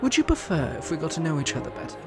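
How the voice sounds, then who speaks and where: An actor playing a suave, smug, flirtatious prince in a romantic roleplay audio drama, teasing and haughty with a sinister edge. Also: male voice, on the high side, huge pitch swings, racing. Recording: music under the voice.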